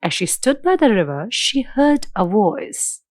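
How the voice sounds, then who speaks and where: Slow, expressive woman storyteller. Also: medium pitch, dry recording, studio quality. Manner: measured, dramatic storytelling. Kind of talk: scripted children's fairy tale narration.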